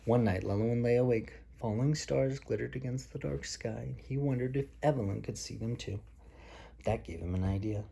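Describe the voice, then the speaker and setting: A man's voice: mid-range voice, fairly quick, vocal fry, mellow, husky. A man reading a picture book aloud to a child as a father, patiently explaining things along the way. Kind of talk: storybook read-aloud with casual asides to a child.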